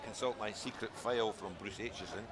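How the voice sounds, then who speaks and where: A low-voiced man from Scotland adds relaxed, chatty analysis to a live rugby broadcast. Loud outdoor stadium noise and traffic sit right behind his voice. He talks in an easygoing co-commentator manner. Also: a little fast.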